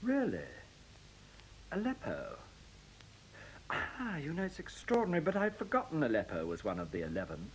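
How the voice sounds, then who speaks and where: An actor playing a genial, busy middle-aged executive in a science fiction radio play, speaking with hesitations and exclamations. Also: male voice, fairly high pitch, huge pitch swings, normal speed, long pauses, a little hiss or hum, rough recording. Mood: genial, animated. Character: genial, busy male executive in a future world.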